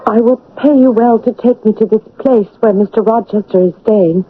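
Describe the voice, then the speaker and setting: A woman's voice: a little high, normal speed, lightly acted. A woman playing a spirited, devoted young English governess in an old radio drama, earnest and composed. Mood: determined. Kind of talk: 1970s-80s radio drama acting. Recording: narrow, tinny old radio broadcast.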